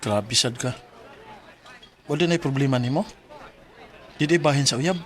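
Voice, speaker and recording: A man's voice: medium pitch, unhurried, a bit bright, natural voice. An actor playing an earnest, troubled young male college student in a radio drama. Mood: frank. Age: young adult. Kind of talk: Filipino radio drama acting.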